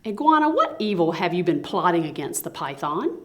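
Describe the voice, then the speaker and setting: A woman's voice: on the low side, sing-song, fairly quick, fairly bright tone. A woman voicing a male lion king in a storybook, an authoritative judge declaiming to his council in a sing-song way. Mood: questioning.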